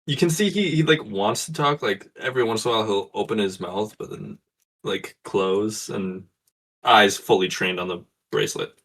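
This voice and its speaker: A man with a fairly low voice talks casually during a tabletop game. He sounds like a player chatting and describing his character. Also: moderate pace, fairly bright tone.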